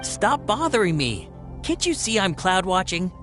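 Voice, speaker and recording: A woman's voice: medium pitch, big pitch swings, normal speed, over music, close to an everyday voice. Woman voicing a snappish, grumpy game villager. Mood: irritated. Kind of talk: video game voice acting.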